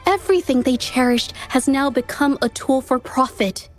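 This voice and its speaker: An actress playing a shy, earnest young woman painter in a game, speaking softly and stammering when flustered. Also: sits high, normal speed, close to an everyday voice. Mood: resolute. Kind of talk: game voice acting. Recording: game audio with faint music underneath.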